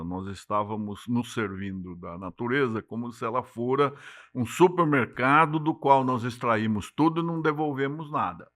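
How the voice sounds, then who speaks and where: An older man with a São Paulo accent, speaking as an interview guest in a measured, explanatory way and often ending points with a tag question. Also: mid-range voice, a bit bright, dry recording, clean studio sound. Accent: São Paulo Portuguese. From Brazil. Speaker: interview guest, city climate secretary. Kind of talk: unhurried interview talk.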